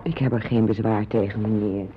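An actress playing a composed, obliging woman in a radio play, with a husky voice. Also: very deep voice, steady tone, brisk, slight background noise. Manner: polite, demure, matter-of-fact. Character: obliging, composed, matter-of-fact mature woman, Mrs Atkins. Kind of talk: radio drama acting. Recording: dull, muffled old radio recording.

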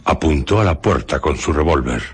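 A man narrating a scripted radio drama in a measured, dramatic way. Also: extremely low pitch, animated, slightly rough.

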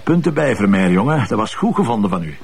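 An actor with a Flemish accent playing a wry, grumbling middle-aged police commissioner, dry and sardonic. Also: male voice, fairly low pitch, big pitch swings, fast, gravelly, a little mellow, husky, heavily acted. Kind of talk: TV drama acting.

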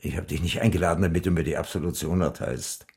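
Gravelly, self-pitying dying old man. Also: low voice, steady tone, fast. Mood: bitter, resigned. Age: older. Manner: self-accusing, morbid, wordy. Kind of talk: German radio crime drama acting.

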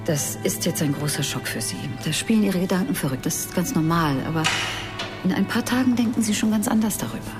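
A woman's voice: fairly low pitch, fast, music in the background, natural voice. An actress playing an apologetic female doctor in a radio crime drama, hesitant and placating. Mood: sorrowful, placating. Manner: soothing, hesitant.

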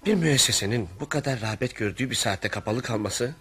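An actor playing a polite, uneasy family friend who also narrates a radio comedy. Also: male voice, mid-range voice, animated, quick pace, lightly acted. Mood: reflective narrating. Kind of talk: radio theatre dialogue and narration.

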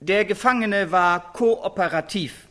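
An actor playing a prison warden who gives a formal, measured press statement in a radio drama. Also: male voice, sits high, sharp tone. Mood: solemn, official. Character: American prison warden giving a formal execution briefing.

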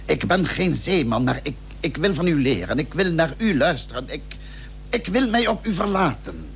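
An actor playing a courteous, persuasive statesman in a period radio drama, with formal, measured, confiding speech. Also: fairly high pitch, very animated, gravelly, a bit bright, full character voice. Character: courteous, persuasive male statesman of the Dutch Republic. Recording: humming, noisy old radio recording.

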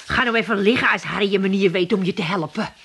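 An actress playing a down-to-earth, skeptical wife in a comic radio play, matter-of-fact and dry. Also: female voice, medium pitch, quick pace, fairly bright tone, breathy edge. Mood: reproachful.

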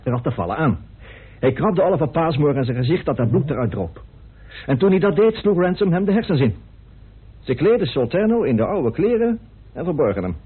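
A man's voice: on the high side, big pitch swings, a little fast, husky, heavily acted. An actor playing a grim, indignant man in a radio play, speaking emphatically and accusingly. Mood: grave, insistent. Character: grim, indignant man bitterly revealing a hidden truth. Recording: muffled, narrow old radio recording.

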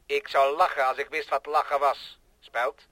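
An actor playing a cold, boastful thinking machine that scorns humans, in solemn declamatory radio play style. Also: male voice, medium pitch, flat delivery, normal speed, bright, forceful tone, no echo, close to an everyday voice. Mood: coldly prophetic. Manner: solemn, pompous, rhetorical.

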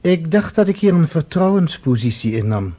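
A man's voice: a little high, sing-song, moderate pace, mellow, husky. A man playing an uneasy, self-interested professor in a science fiction radio drama, wary and defensive in manner. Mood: defensive, insistent.

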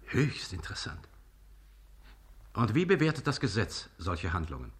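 An actor playing an imperious king in a radio play, ironically polite and deliberate. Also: lowish voice, big pitch swings. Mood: amused curiosity. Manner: regal, mock-courteous, deliberate. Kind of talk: German radio play acting.

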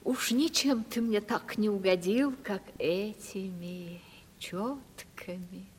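An actress playing an affected, genteel, ageing rich landowner aunt in a Russian radio comedy play. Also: female voice, mid-range voice, slow pace, slight background noise, natural voice. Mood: gracious. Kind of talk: 1970s Russian radio stage drama. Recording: long hall reverb.

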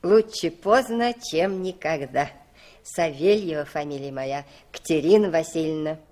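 Older bossy lady, kinda low voice, dry humour, old soviet radio play. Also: lively intonation, measured pace, a little mellow. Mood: wry. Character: older woman, bossy yet good-humoured.

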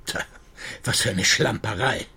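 An actor playing a brisk, sceptical, commanding male lead investigator in a radio drama. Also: on the low side, gravelly, bright, forceful tone, husky, heavily acted.

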